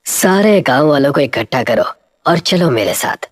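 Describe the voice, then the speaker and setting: A woman voicing a stern old village holy man, low-pitched. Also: lively intonation, normal speed, no room sound, studio quality. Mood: grave. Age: older. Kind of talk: cartoon moral story acting.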